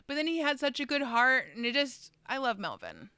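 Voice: sits high, huge pitch swings, a little fast, sharp tone, clean tone, no room sound, studio quality. A co-host in casual chat, enthusiastic and swearing a lot, with many fillers.

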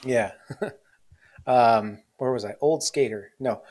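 A man's voice: medium pitch, slow, a little mellow. A man in his forties hosting a podcast, chatting in a rambling, self-mocking way with lots of fillers. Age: 40s. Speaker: podcast host. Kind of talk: casual interview chat.

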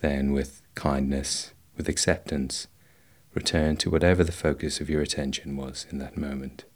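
A man guiding a meditation in a slow, measured, instructional way, leaving long pauses between phrases. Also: extremely low pitch, fairly even intonation. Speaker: meditation guide. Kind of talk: guided body scan meditation.

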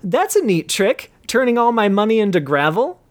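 A squealing little elf. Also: male voice, sing-song, normal speed, no echo. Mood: gleeful. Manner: squealing, high-pitched. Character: small excitable male elf.

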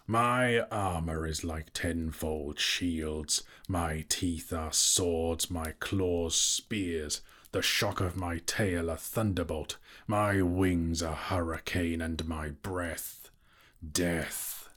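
A man voicing a huge old boastful dragon in a storybook, proud and menacing, with slow, gloating, grandiose delivery. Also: deep voice, fairly even intonation, fairly bright tone, heavily acted. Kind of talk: audiobook character voice.

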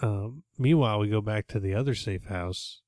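A man's voice: lowish voice, a little mellow, no echo, clean studio sound. A man hosting a podcast, casually recapping an episode in a rambling, jokey way. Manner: rambling, jokey, with quick put-on voices. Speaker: podcast host.

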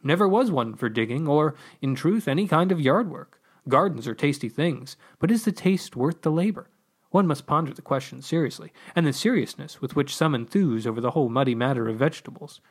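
A man's voice: a little high, lively intonation, a little fast, husky. A man voicing a flustered, chatty escapee from the underworld in a story, rambling with mock-formal politeness. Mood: chatty, agreeable.

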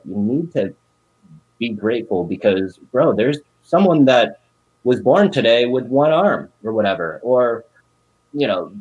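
A man's voice: mid-range voice, darkish tone, rough recording. A man in his thirties, born in Korea, talking in a casual, rambling way with lots of fillers. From South Korea. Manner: rambling, emphatic, lots of fillers like "you know" and "bro". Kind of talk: casual chat.

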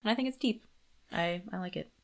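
Woman, low voice, casual conversation, reflective, podcast co-host. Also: brisk, gravelly. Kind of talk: casual book discussion.

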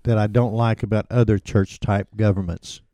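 A man's voice: fairly low pitch, fairly even intonation, moderate pace, mellow, no echo. A man co-hosting a church podcast in casual chat, folksy and self-deprecating, often restarting his sentences. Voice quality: says he sounds like a hick. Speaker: podcast co-host.

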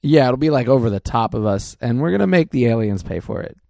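A relaxed man co-hosting a podcast, with a gravelly, dark and mellow voice. He teases and jokes easily. The sound is dry and close. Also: mid-range voice, fast, dry recording. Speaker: podcast co-host. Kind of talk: casual chat.

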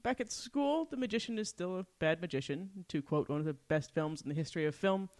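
A woman's voice: fairly low pitch, fairly quick, a little mellow. A woman co-hosting a podcast, giving a casual, playful recap and commentary with a giggly manner. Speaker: podcast co-host.

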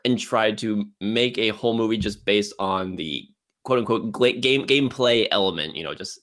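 A man hosting a casual film discussion, speaking haltingly and often repeating words as he works toward his point. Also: fairly low pitch, a bit bright. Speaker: film podcast host.

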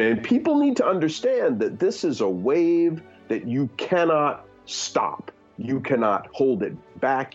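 A man's voice: medium pitch, darkish tone, highs cut off. A man in his fifties giving candid opinions in conversation, earnest, with many fillers and restarts. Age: 50s. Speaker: guest.

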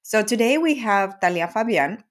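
A woman's voice: medium pitch, lively intonation, normal speed. A woman hosting an interview podcast, with an upbeat, encouraging way of talking. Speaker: podcast host.

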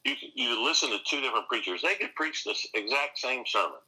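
A pastor and seminary teacher answering interview questions earnestly, with frequent restarts and rising emphasis. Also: male voice, medium pitch, lively intonation, fairly quick, bright, forceful tone, husky, poor audio.

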